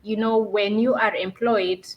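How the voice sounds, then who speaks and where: A woman's voice: medium pitch, steady tone, measured pace, highs cut off. A Kenyan woman guest on an online panel, telling her own story in an earnest, reflective way. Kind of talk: casual panel talk.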